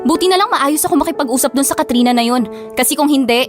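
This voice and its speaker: A woman with a Filipino accent playing a guarded, sharp-tongued young office worker in an audio drama. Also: high voice, fast, music in the background, lightly acted. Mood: hurt, defensive. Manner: scolding, blunt, guarded. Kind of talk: Tagalog audio drama acting.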